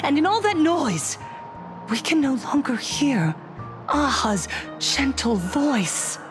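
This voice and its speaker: A woman's voice: high-pitched, very animated, music in the background, full character voice. A woman playing a fervent cult preacher in a game, delivering a solemn, rousing, theatrical sermon about happiness. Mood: grieving, indignant. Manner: solemn, measured, rousing oratory. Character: fervent female cult preacher promising happiness, smiling and zealous.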